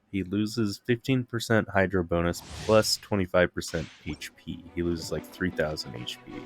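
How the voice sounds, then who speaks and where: A man with a deep voice gives casual gameplay commentary, rambling as he thinks aloud. Faint game music plays behind him. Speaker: game streamer. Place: live stream with game audio behind.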